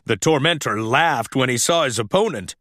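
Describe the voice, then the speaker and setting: A man reading a children's story aloud as a scripted, animated narration. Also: mid-range voice, normal speed, no room sound, studio quality.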